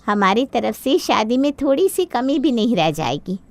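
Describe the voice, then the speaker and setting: Woman as an eager-to-please mother, highish voice. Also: big pitch swings, moderate pace, dry recording. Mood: proud, obliging. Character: earnest, eager-to-please middle-aged mother of the bride. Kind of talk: Hindi cartoon moral-story dubbing.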